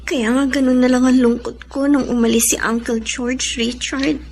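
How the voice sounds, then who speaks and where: A woman's voice: high voice, level tone, normal speed, gravelly, a little mellow, a little hiss or hum. An actress playing a meek, hurt young Filipino woman in a radio drama, polite and plaintive. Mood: sad, resigned. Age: young adult.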